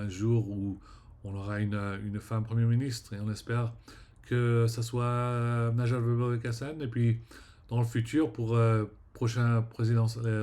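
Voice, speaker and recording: A man talks straight to his viewers in a loose, rambling monologue, his voice sitting a little low. He halts often, fills gaps with hesitations and restarts, and lets his phrases fade away quietly at the end. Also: a little hiss or hum. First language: French. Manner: rambling, halting, many fillers and restarts; trails off quietly at ends of phrases. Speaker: vlogger. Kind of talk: casual vlog monologue.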